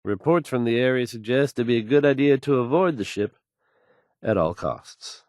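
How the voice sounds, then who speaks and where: An actor playing a self-assured, smooth male radio DJ in a game, delivering news with polished, wry showmanship. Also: mid-range voice, expressive, moderate pace, darkish tone, no echo, clean studio sound, lightly acted. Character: confident, suave, upbeat male radio DJ.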